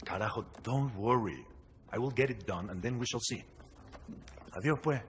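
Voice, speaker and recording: An actor playing a gruff, skeptical rebel comrade in a video game cutscene. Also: male voice, mid-range voice, normal speed, close to an everyday voice. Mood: guarded. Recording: long echo with faint background noise.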